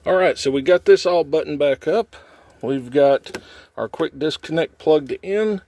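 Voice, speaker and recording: A man's voice: a little high, measured pace, dark tone. A man hosting a casual how-to video, talking through a job in folksy, informal phrasing as he works. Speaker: how-to video host, trucker. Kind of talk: casual hands-on tutorial, talking while working. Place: in and around a truck cab.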